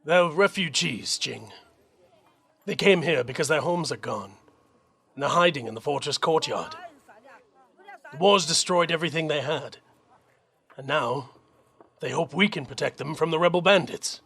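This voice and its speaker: A man playing a dutiful young army captain in an audio drama, earnest and measured. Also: a little high, expressive, vocal fry, bright, forceful tone, husky, full character voice. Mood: calm, gentle.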